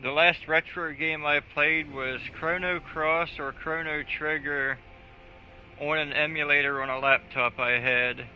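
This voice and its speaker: A man's voice: a little high, steady tone, a little slow, slight background noise. A man streaming a video game, chatting casually with crude, offhand jokes. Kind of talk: casual gaming livestream chat. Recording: dull lo-fi mic with game music.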